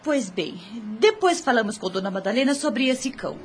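A woman's voice: on the high side, big pitch swings, normal speed, slight background noise. An actress playing an anxious, protective, scolding servant woman in a radio soap opera, urgent and agitated. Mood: flustered, placating.

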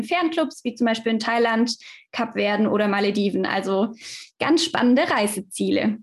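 A woman in her twenties with a light Swabian accent, a podcast guest chatting casually and lively, laughing often and using many fillers. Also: a little high. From Stuttgart, Germany. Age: 20s. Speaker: podcast guest, fitness manager. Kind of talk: casual interview chat.

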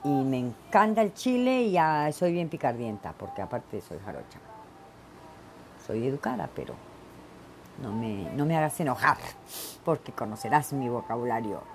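A woman's voice: a bass voice, lively intonation, moderate pace, a little hiss or hum. A middle-aged woman from Veracruz, hosting her own show and giving blunt, emphatic opinions in a solo monologue full of fillers. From Mexico (Veracruz). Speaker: podcast host.

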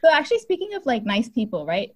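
A woman's voice: a little high, very animated, quick pace, a little mellow. A woman co-hosting a podcast, chatty and casual, saying "like" a lot. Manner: chatty, says "like" often.

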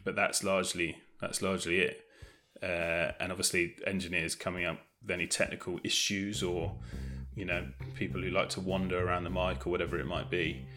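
A man's voice: deep voice, flat delivery, brisk, few pauses, bright, forceful tone. A British man talking as an interview guest in a casual, hesitant, thoughtful and self-effacing way. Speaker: audio drama director, interview guest.

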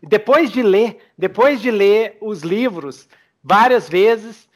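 A man hosting a casual podcast interview, talking in an informal, slangy and expressive way. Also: high-pitched, slow pace. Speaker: podcast host interviewing guests.

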